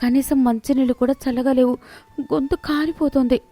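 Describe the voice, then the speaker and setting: A woman's voice: high voice, fairly even intonation, moderate pace, warm tone, dry recording, pitched above the performer's own voice. Whining spoiled young wife acted by a woman. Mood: whining, suffering. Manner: complaining, demanding.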